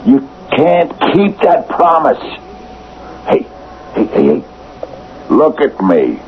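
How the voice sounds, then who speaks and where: An actor playing a hard, domineering football coach in a radio drama, goading and commanding in clipped phrases. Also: male voice, a little high, big pitch swings, slow pace, full character voice. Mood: forceful, commanding. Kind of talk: 1970s radio drama acting. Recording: tinny, noisy vintage radio broadcast.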